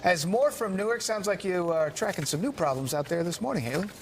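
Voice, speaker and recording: A man's voice: high-pitched, animated, brisk, slight background noise. A man anchoring a morning television news show, introducing reports in broadcast style.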